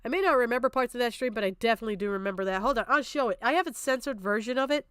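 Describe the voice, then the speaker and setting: A woman with a smooth, fairly high voice does live reaction commentary on a stream. She is combative and sarcastic and curses freely. The sound is dry and close, with no room on it. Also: very fast, fairly bright tone, no room sound. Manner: combative, sarcastic, heavy swearing.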